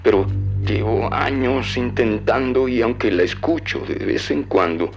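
A man's voice: medium pitch, unhurried, creaky. An actor playing a grave, regretful father making a solemn confession on an old cassette in an audio drama. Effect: old cassette tape filter. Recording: dull lo-fi cassette tape, faint music.

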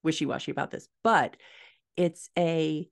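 A woman's voice: low voice, fairly even intonation, measured pace, creaky. A middle-aged American woman chatting as a guest author on a podcast, thinking aloud as she explains. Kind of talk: casual podcast interview. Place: home room, indoors. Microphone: video call, desktop mic.

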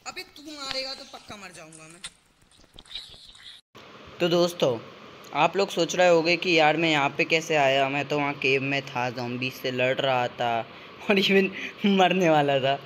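A man's voice: on the high side, unhurried, a bit bright, slight background noise. A young man hosting a gaming channel, chatting with a friend during play and then talking to viewers in a rambling, self-correcting way. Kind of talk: gameplay chatter and casual narration to viewers.

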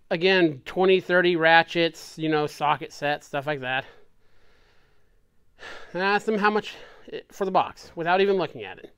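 A man's voice: a little high, fairly bright tone, smooth, dry recording. A man chatting casually to camera in his workshop, naming tools as he unpacks a box. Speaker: machine shop owner showing a tool haul. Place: workshop bench, indoors.

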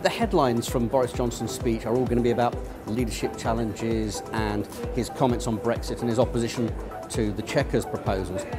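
Male reporter at a busy conference. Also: mid-range voice. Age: middle-aged. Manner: dry, measured analytical delivery. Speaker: political commentator and reporter. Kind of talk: on-location news report. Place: busy conference venue indoors.

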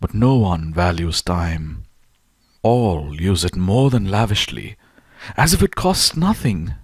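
A man with a low-pitched voice reads a philosophical essay as an audiobook narrator. His phrasing is deliberate and measured, leaning firmly on key words. The recording is dry and close, with no room echo. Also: sing-song, slightly rough. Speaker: audiobook reader.

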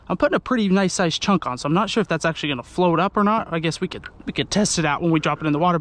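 A young man vlogging from a small boat on a lake, chatting and explaining his fishing rig as he works. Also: high voice. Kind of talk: casual fishing vlog narration. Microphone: action camera on boat.

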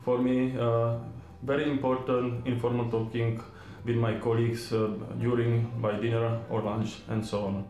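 A man in his forties, not a native English speaker, answering an interview haltingly in simple phrases. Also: mid-range voice, level tone, a little mellow. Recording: echoey office.

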